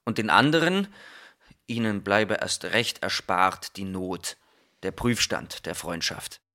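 An actor playing a bitter, lamenting chorus voice in a theatrical verse radio drama. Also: male voice, deep voice, lively intonation, takes it slowly, pushed and bright, full character voice. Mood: bleak, bitter.

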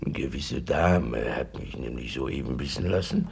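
An actor playing an obsequious, gossipy schemer in a radio play, gravelly and husky, wordy and insinuating in a servile way. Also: male voice, extremely low pitch, lively intonation, measured pace, a little mellow, a little hiss or hum, heavily acted. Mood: secretive, insinuating. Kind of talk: German radio play acting.

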